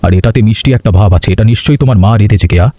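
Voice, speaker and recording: A man's voice: lowish voice, very fast, dark tone, no room sound, close to an everyday voice. A man playing an earnest, polite village family man in cartoon dialogue. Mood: pleased. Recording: music behind the voice.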